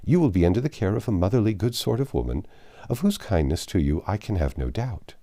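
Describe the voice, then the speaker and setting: A man doing an affectionate mother from a classic novel, brisk and coaxing, with a deep, dark tone. Her pitch rises and falls a lot as she urges her daughters on. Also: sing-song. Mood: fond. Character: warm, fond older mother, woman.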